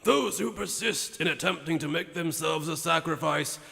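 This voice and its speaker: A man performs an ancient, knowing anime sage with heavy gravel in a slightly high male voice. He speaks gravely and theatrically, drawing out key phrases, and every word rings in a long hall reverb. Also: normal speed, pushed and bright, full character voice. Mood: grave, storytelling. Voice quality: gravelly, creaky. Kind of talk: anime fan dub acting.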